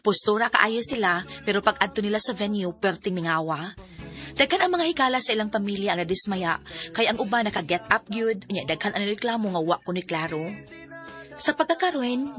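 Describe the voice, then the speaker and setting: A woman's voice: mid-range voice, a bit bright. A woman narrating a scripted first-person story for a radio drama. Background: music.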